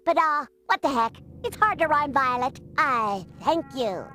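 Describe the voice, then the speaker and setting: A woman's voice: high-pitched, lively intonation, unhurried, pushed and bright, heavily acted. A woman voicing a cute, sassy little sister creature in a cartoon game, with a showy delivery. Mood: mock recital. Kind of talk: animated game cutscene acting.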